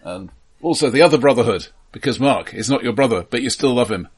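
A middle-aged man co-hosting a film podcast in a halting, analytical way, repeating words as he thinks through his explanations. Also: mid-range voice, animated, husky. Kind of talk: casual film discussion.

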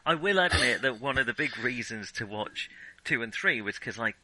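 A man with a very bright, forward voice, recorded close and dry. He talks casually about films as a podcast co-host, airing opinions and reworking his sentences as he goes. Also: mid-range voice, expressive, normal speed, no echo, low-quality recording. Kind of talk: casual film discussion.

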